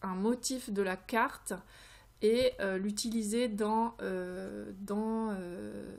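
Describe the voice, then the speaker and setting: A woman presents creative ideas in an informal, unscripted way. She speaks haltingly, often searching for the right word as she explains each step. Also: medium pitch, fairly even intonation, vocal fry, darkish tone. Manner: hesitant, halting, searching for words. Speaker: creativity vlogger presenting tarot card ideas. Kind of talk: informal tutorial talk, unscripted.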